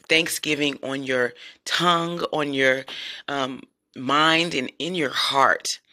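A woman with a low voice who hosts a devotional podcast and leads worship at her church, talking casually and warmly to her listeners. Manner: chatty and encouraging, often addresses listeners as "y'all".

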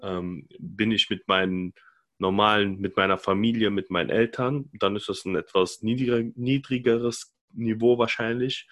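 Low-voiced man chatting loosely. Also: steady tone, moderate pace, fairly bright tone. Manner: loose, rambling, with many fillers and restarts. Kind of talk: casual podcast discussion.

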